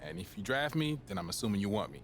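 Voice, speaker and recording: Young American man, low voice, easygoing confident hoops rookie for a sports game, faint noise behind. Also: big pitch swings, fast. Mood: relaxed, diplomatic. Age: young adult. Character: confident, easygoing young male basketball draft prospect. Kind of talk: naturalistic video game cutscene acting.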